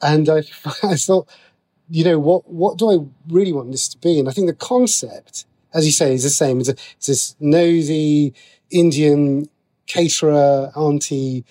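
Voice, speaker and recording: A man speaking as an interview guest, a writer explaining his creative work in a conversational, halting way, often rephrasing as he goes. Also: a little high, mellow.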